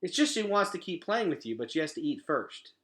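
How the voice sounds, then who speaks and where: A man's voice: a little high, big pitch swings, fairly quick, a bit bright, voice pushed up. A man voicing a practical, cautious boy who is a protective big brother, in a plain, casual explaining way. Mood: embarrassed.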